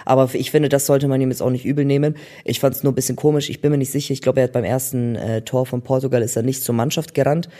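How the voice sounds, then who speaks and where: A man's voice: mid-range voice, level tone, fast, hardly any pauses, mellow. A young man chatting casually about football in colloquial, slangy speech sprinkled with English loanwords. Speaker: football talk co-host.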